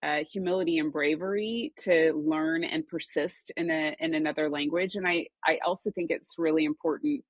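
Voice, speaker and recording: A woman's voice: deep voice, steady tone, normal speed, hardly any pauses, no echo, highs cut off. A woman hosting a podcast, chatting casually and affirming her guest, often repeating words as she gathers her thoughts. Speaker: podcast host.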